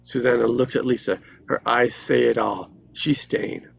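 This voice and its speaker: Male stage-directions narrator, gravelly and raspy, terse, deliberate dramatic delivery. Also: medium pitch, steady tone.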